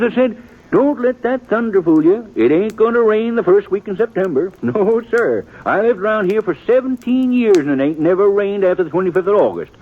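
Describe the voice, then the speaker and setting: An actor playing a gossipy, long-winded cafe owner in a 1950s radio western, folksy and American, rambling along and easily offended. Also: male voice, sits high. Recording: muffled vintage radio recording.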